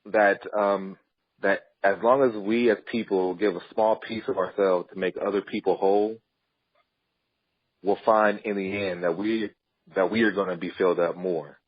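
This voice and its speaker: A man's voice: deep voice, steady tone, moderate pace, husky, dry recording, lo-fi sound. A man telling his story earnestly as a podcast guest, sometimes restarting his words. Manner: earnest, with some repeated starts. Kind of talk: storytelling interview answers.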